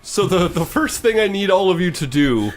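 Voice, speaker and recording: A man hosting as game master, narrating a tabletop fantasy game in a halting, descriptive way. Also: sits high, animated, faint music behind. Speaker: game master, podcast host.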